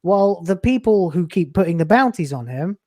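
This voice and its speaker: A man's voice: high-pitched, animated, normal speed, dark tone, no room sound, clean studio sound. Blunt, profane man in his late thirties. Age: late 30s. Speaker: YouTuber guest. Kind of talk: casual podcast chat.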